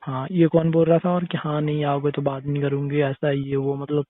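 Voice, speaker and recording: A man's voice: on the high side, level tone, moderate pace, no echo. Young man on a tinny phone call. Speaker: boyfriend. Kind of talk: casual relationship phone chat.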